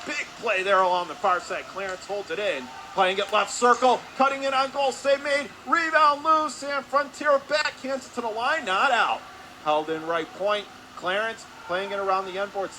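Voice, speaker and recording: A man calling live hockey play-by-play for radio in clipped, urgent phrases, following the action as it moves. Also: high-pitched. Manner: urgent, clipped running description, voice rising with the action. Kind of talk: live radio sports commentary. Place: hockey arena. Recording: clear steady background noise.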